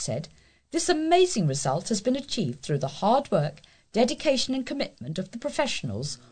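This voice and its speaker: A woman's voice: medium pitch, mellow, breathy edge. A woman reading scripted local news stories as a volunteer newsreader.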